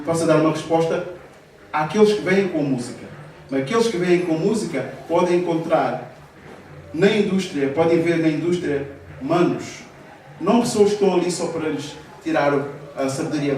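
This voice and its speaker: A man speaks earnestly at a live talk, stumbling over and restarting his phrases. His voice sits a little high and is caught from a distance in a very echoey café with faint noise behind. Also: mellow. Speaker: interviewee, hip-hop artist. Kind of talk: public talk about the music industry. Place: café hall, live event. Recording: roomy echo, distant mic, faint noise.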